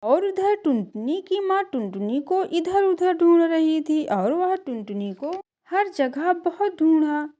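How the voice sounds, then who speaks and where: A woman's voice: very high-pitched, very animated, slow pace, dark tone. A woman narrating a scripted children's cartoon story as its storyteller.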